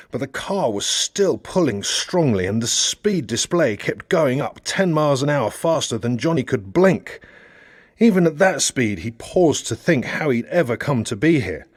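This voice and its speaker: A man reading a satirical novel as an audiobook narrator, in a measured storytelling way with expressive emphasis. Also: mid-range voice, no echo, studio quality.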